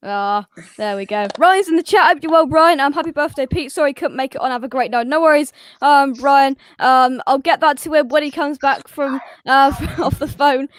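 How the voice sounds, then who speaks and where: A man's voice: very high voice, moderate pace, fairly bright tone, no echo. A man hosting a casual live stream, reading out the chat with plenty of hesitant ums. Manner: hesitant, lots of ums, laughs along.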